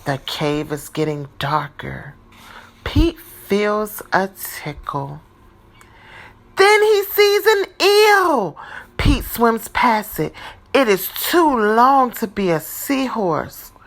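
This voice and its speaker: A woman reading a children's picture book aloud in a deliberate, expressive teacher's style. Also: medium pitch. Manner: deliberate, animated, dramatizing for young children.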